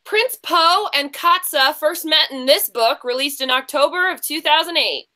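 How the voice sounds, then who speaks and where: A woman with a high, bright voice hosts a book quiz on a video call. She speaks informally and unscripted, moving between questions and chat with her contestants. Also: moderate pace. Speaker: host of an online book quiz. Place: online group video call.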